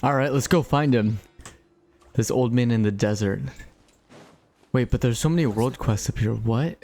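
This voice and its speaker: A man's voice: medium pitch, moderate pace, darkish tone, soft background music. A man giving casual, chatty live gameplay commentary as a streamer, reacting as he goes. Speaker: game streamer.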